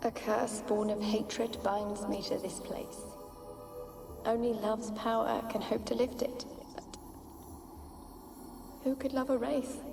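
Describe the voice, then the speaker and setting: A woman's voice: fairly high pitch, level tone, slow pace, vocal fry, long pauses. An actress playing the ghost of a sorrowful young noblewoman in a video game, pleading and evasive, with archaic, plaintive phrasing. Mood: wistful, sorrowful. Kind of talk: dramatic video game acting. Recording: echoing, distant ghostly voice over music.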